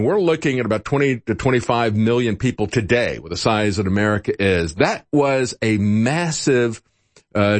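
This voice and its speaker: A man hosting a talk radio show, reading product offers briskly and then giving earnest opinions to a guest. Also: medium pitch, no echo.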